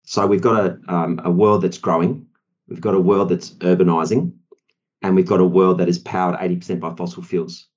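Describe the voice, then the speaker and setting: Low-voiced Australian man, halting delivery. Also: steady tone, darkish tone, dull audio. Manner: hesitant, many ums and you knows. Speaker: law firm partner, guest. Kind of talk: podcast discussion.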